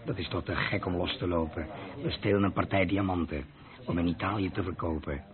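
An actor playing an impatient, sarcastic diamond thief in a radio drama. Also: male voice, sits low, steady tone, brisk, natural voice. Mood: exasperated, scornful. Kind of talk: Dutch radio drama acting. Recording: muffled narrow-band old radio play.

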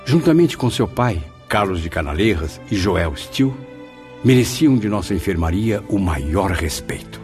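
An actor playing a reflective, admiring spirit who narrates memories in formal, literary language in a radio drama. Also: male voice, low voice, sing-song, moderate pace, a little mellow, soft background music. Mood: respectful. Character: reflective, admiring spirit recalling his afterlife companions.

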